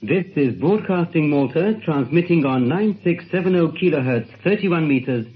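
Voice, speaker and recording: A man's voice: on the high side, lively intonation, normal speed. A man reading a scripted programme introduction on a lo-fi shortwave recording with guitar music behind. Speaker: radio station announcer. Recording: dull lo-fi shortwave radio recording. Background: faint guitar music.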